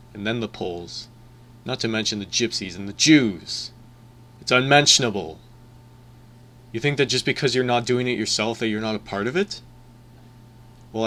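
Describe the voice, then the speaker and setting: Casting: man acting bitter young male rebel, low voice, morally outraged, wartime audio drama. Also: pushed and bright.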